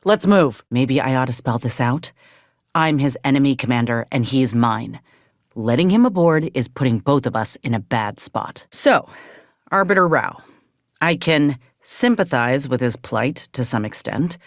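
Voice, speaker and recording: A woman's voice: very deep voice, animated, a little slow, no echo, clean studio sound, full character voice. A woman playing an earnest, loyal frontier soldier in a video game, speaking plainly and with measure. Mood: wary, firm, then dry, skeptical. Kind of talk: video game companion dialogue.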